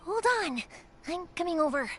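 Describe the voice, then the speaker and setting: A woman playing an upbeat, kind and protective young fireworks maker in a video game, expressive and reassuring. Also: very high-pitched, normal speed, soft background music. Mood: startled.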